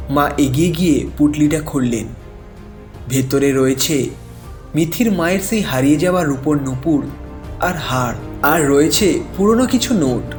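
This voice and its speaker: Higher-pitched male dramatic story narrator. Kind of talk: scripted dramatic storytelling for a cartoon. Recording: music bed behind the voice.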